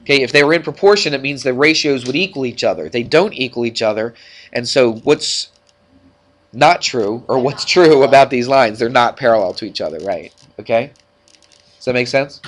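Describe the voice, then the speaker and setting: A male math teacher works through problems for his class. He sounds congested and stuffed up. His delivery is informal, full of short check-in questions, at an ordinary middle pitch. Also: moderate pace. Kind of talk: classroom worked-problem lesson.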